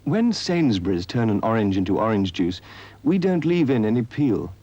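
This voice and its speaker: A man's voice: lowish voice, very animated, normal speed, dark tone, no echo. A man reading an advert voice-over in a precise, understated manner. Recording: dull, dry studio recording.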